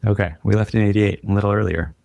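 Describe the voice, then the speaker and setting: A man originally from Ukraine, hosting a casual interview and asking questions in a relaxed, conversational way. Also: lowish voice, quick pace, vocal fry, no room sound. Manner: conversational, easy laughs, thinking aloud. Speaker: podcast host.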